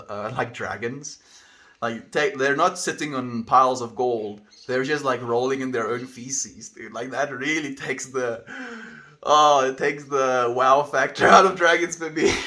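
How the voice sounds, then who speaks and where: A man's voice: medium pitch, bright, forceful tone. A man with a South African accent, a live streamer chatting casually with his viewers and rambling through musings while calling them dude and friend. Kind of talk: casual livestream chat reading viewer comments.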